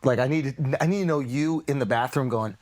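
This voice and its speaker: A man's voice: mid-range voice, a little fast, darkish tone, a little hiss or hum. A man hosting a podcast, interviewing casually and comically with probing, teasing questions. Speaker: podcast host.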